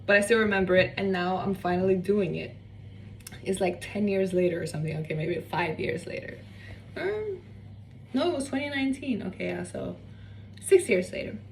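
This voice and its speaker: A woman's voice: medium pitch, lively intonation, normal speed, creaky. A young woman from Norway, chatting casually and rambling off on tangents while she cooks in her home kitchen. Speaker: cooking vlogger. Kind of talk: casual cooking vlog chat. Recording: slightly distant mic with faint room noise.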